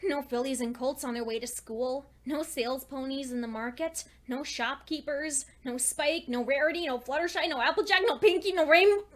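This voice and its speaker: A woman's voice: high-pitched, moderate pace, hardly any pauses, natural voice. A woman voicing an anxious young female pony scholar, earnestly and precisely reading out a log as a dramatic story. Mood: rising distress. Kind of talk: dramatic first-person fan fiction reading.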